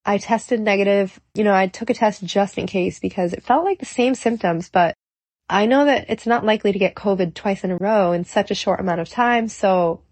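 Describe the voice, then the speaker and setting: A woman's voice: medium pitch, brisk, a little mellow, no room sound. A woman hosting a solo podcast, talking earnestly and upbeat with a congested, stuffy voice and frequent fillers. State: congested from a cold. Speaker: podcast host.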